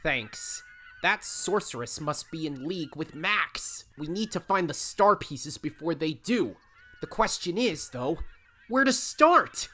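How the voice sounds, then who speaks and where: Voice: medium pitch, animated, slow pace, sharp tone, full character voice. An actor playing a scrappy, hot-headed young male raccoon adventurer in an audio drama. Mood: determined. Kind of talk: audio drama acting with narration.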